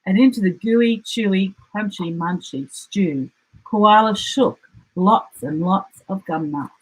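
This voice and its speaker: A woman with an Australian accent, reading a children's story aloud in an expressive storytelling style. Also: mid-range voice, slow pace, slightly rough, low-quality recording. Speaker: storybook reader.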